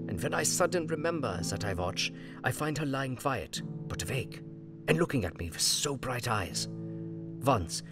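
A man reading a journal in an audio drama, speaking earnest, halting, foreign-phrased English. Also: mid-range voice. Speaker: narrator reading an elderly professor's journal. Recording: music bed under voice.

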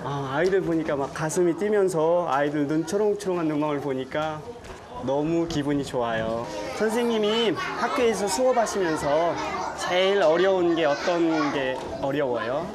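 A man's voice: on the high side, lively intonation, moderate pace. A man on location in a floating village classroom, playfully teaching children a few words, recorded on a lapel mic. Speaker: travel presenter visiting a school. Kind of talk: casual on-location talk. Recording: on-location with music and crowd noise. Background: children's voices and music.

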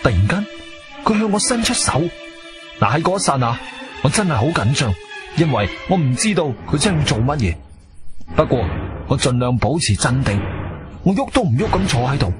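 A man's voice: fairly high pitch, big pitch swings, fairly quick, long pauses. An actor playing a composed, wronged adventurer who tells his own story in a radio drama, stern and dramatic. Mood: tense, controlled. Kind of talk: first-person radio drama narration and dialogue. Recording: music behind the voice.

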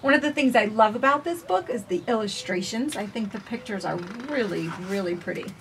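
High-pitched woman reading a picture book aloud. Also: lively intonation, brisk. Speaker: children's storytime reader. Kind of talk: expressive picture-book read-aloud with asides. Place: outdoors on a house porch. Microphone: camera a few feet away. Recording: distant camera mic, faint outdoor noise.

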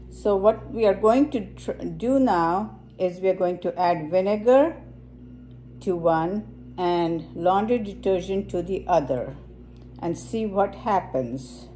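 A woman presenting a simple science demonstration for children in a kitchen, explaining step by step in a halting way. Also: fairly low pitch, dark tone, slight background noise, poor audio. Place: home kitchen, indoors.

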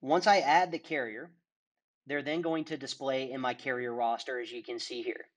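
A man walking viewers through a software product demo in a steady, explanatory presenter's manner. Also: mid-range voice, moderate pace. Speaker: product demonstrator.

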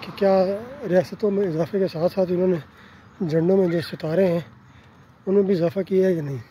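A Pakistani man with an Urdu accent narrating a walking tour of a quiet residential street, politely pointing out houses as he films on his phone. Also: high-pitched, fairly even intonation, highs cut off, slight background noise. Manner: polite, unhurried tour-guide commentary with frequent "ji" and "theek hai na". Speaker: travel vlogger. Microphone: handheld phone camera while walking.

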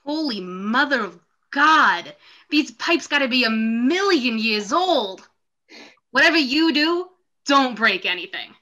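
A woman's voice: high voice, expressive, slow pace, sharp tone. A young woman on a video call, giving a casual dramatic reading of a film script's lines. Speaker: participant reading Mario's lines. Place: room at home.

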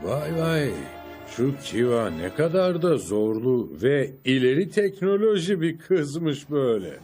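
A man voicing a wisecracking young sidekick in a cartoon dub, excitable and sarcastic. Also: medium pitch, a little slow, faint music behind. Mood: wry. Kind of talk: dubbed cartoon comedy acting.

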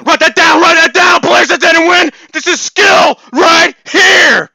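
A man voicing a hyped-up, taunting shooter-game announcer, bombastic and over-excited, in shouted voice lines. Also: very high voice, unhurried, vocal fry, pitched above the performer's own voice, exaggerated voice. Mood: hyped triumphant.

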